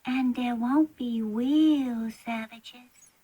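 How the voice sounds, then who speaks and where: A woman's voice: a little high, extremely slow, dark tone, breathy edge, lo-fi sound, exaggerated voice. A woman playing a timid, imaginative little girl in a children's storybook play, earnest and pleading in a childlike way. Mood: anxious.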